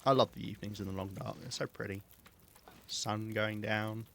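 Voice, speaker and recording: A man with a southern English accent, chatting casually and self-mockingly while streaming a game, with a calm, soothing voice. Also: fairly low pitch, normal speed, vocal fry, slight background noise. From southeast England. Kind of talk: casual gameplay chat.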